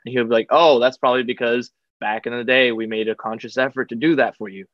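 A man's voice: mid-range voice, steady tone, fast, no room sound, dull audio. A young man from Taiwan, a podcast guest chatting casually and joking, with many fillers and restarts. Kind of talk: casual interview chat.

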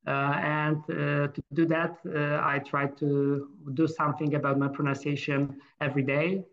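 Polish-accented man, middle-aged, gravelly, flat delivery, dull lo-fi sound. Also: fairly high pitch, normal speed. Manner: hesitant, many fillers and restarts. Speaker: guest. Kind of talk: casual interview.

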